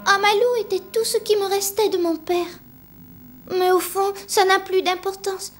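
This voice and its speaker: A woman's voice: extremely high pitch, steady tone, normal speed. A woman voicing an earnest, grieving young orphan girl in a cartoon drama, polite and plaintive. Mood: dejected. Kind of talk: animated drama dubbing.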